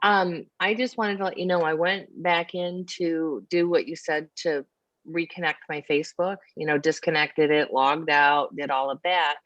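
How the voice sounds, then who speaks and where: A woman with a slightly low voice speaks casually as a webinar participant. The call audio is a bit dull and dry as she explains a tip to the group. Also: steady tone, moderate pace, a bit bright, dry recording.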